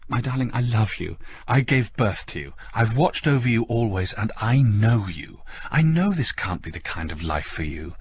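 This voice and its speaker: Man voicing an anguished, pleading, higher-pitched mother. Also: expressive, normal speed, few pauses, husky. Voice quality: whimpering. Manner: emotional, pleading. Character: anguished, clinging middle-aged mother, pleading and possessive.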